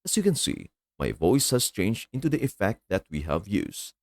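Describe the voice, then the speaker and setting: A man's voice: fairly low pitch, big pitch swings, normal speed, background noise. A man hosting a tech tutorial, giving polite, formal step-by-step voice-over instructions.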